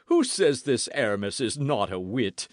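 A man voicing a boastful, loud musketeer in an audiobook, theatrical and full of exclamations. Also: medium pitch, very animated, normal speed, no room sound, studio quality, pitched above the performer's own voice, close to an everyday voice. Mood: gleeful, mocking. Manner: theatrical, exclamatory, swooping emphasis. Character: boisterous, boastful swaggering musketeer, loud and self-satisfied.

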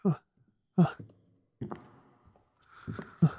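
Casting: male actor as troubled young Australian man, earnest and remorseful, mellow husky delivery for ASMR drama, dry close sound. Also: very slow, dry recording. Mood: remorseful, hushed. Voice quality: husky, breathy. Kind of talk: ASMR audio drama acting.

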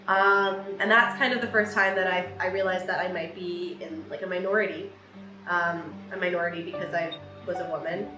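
A woman's voice: on the low side, steady tone, normal speed. Chatty young woman student, roomy sound. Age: around 20. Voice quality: loud voice. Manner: chatty with frequent ums and restarts. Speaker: engineering student vlogger. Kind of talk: reflective talk to camera. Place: room with large window, indoors. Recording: roomy with background music.

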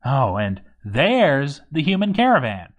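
A man's voice: medium pitch, wildly swooping pitch, a little slow. Wry male narrator, sarcastic asides. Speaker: narrator of a game story read-through. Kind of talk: scripted narration, reading a game log in character.

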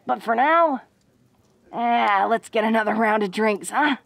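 A woman's voice: on the high side, a little slow, vocal fry, no echo. A woman playing a tough but secretly awkward, tender young orc woman in an intimate romantic audio roleplay, with a casual, folksy, hesitant way of talking. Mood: earnest, hopeful. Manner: casual, folksy, hesitant with frequent stammers and "huh?" tags.

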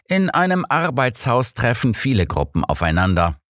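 A man reading an elderly, learned detective in an audiobook, with a measured, formal, explanatory and calmly assured way of talking. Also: medium pitch, no echo, studio quality, voice pushed up.

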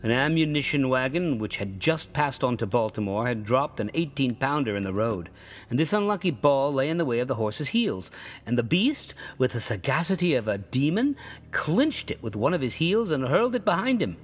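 A man's voice: medium pitch, big pitch swings, moderate pace. A man voicing a stubborn male toll-gatherer who tells a tall tale in a storybook reading.

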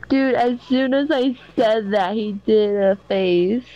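A woman's voice: fairly high pitch, slow, warm tone, dull audio. A woman chatting and teasing casually with friends on a group video call. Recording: very noisy video call audio.

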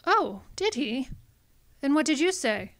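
A woman's voice: high voice, big pitch swings, measured pace, fairly bright tone, full character voice. A woman playing a coy, scheming femme fatale in a noir radio drama, with a hesitant, pleading, girlish innocence.

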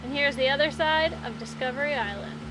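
A woman's voice: high-pitched, a little fast, rough recording. A woman hosting a casual, chatty travel vlog outdoors, with an upbeat, playful manner. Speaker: vlog host. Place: outdoors, mostly on a boat on a lake.

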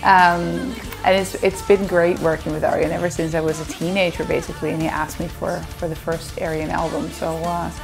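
Dutch lady on a couch answering fan questions, kinda low voice, chatty and jokey, a bit of music behind. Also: little pitch movement, a little fast, vocal fry, few pauses. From Netherlands. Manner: chatty, playful, laughs often. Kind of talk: casual chat to camera. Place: indoor living room, seated on a sofa.

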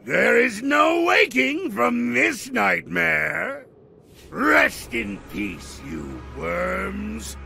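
A man playing a pompous, theatrical middle-aged mad-scientist villain in a cartoon video game, with a gravelly voice. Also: sits high, wildly swooping pitch, drawn-out words, sharp tone, a little hiss or hum, exaggerated voice. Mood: enraged, gloating.

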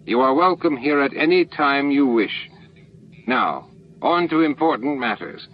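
Guy playing smooth bossy alien leader, very formal, muffled audiobook sound. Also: mid-range voice, lively intonation, unhurried, pitched above the performer's own voice. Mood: smooth, commanding. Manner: formal, commanding. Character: smooth, authoritative male alien leader with a snout. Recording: dull, noisy lo-fi book-on-tape recording.

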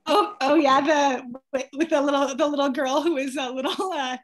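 Canadian woman in her 30s on a zoom call, high voice, chatty and giggly. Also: fairly even intonation, normal speed. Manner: bubbly, laughs often, chatty with fillers. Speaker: guest presenter. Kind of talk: casual video call chat. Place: indoor room at home. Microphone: video call on laptop.

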